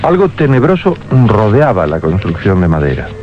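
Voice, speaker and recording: Animated male radio story narrator. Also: on the low side, normal speed, a little hiss or hum. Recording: dull old radio recording with music.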